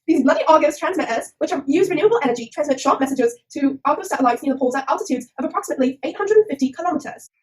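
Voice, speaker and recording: A woman's voice: high voice, lively intonation, very fast, hardly any pauses, rough recording. A woman voicing a cheeky puppet presenter, theatrical and slangy, in a scripted comic science explainer. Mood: chirpy explaining. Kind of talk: scripted comic educational narration.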